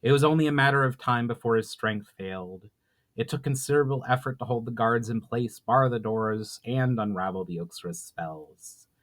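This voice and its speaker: A man's voice: mid-range voice, normal speed, fairly bright tone. Man giving a dramatic fantasy narration. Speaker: author reading his own novel.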